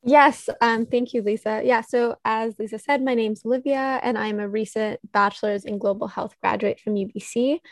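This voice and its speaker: A woman's voice: on the high side, fairly even intonation, moderate pace, few pauses, no echo. A woman in her twenties introducing herself politely and briefly on a video call. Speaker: nonprofit coordinator.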